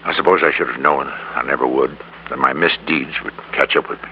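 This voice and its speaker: A man's voice: a bass voice, fairly even intonation, fairly quick. An actor playing a greedy, cornered businessman who turns evasive and self-pitying in a 1950s radio drama. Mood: defeated, rueful. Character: cornered, greedy male businessman, evasive, then confessing murderer. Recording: muffled old radio recording, hum, hiss.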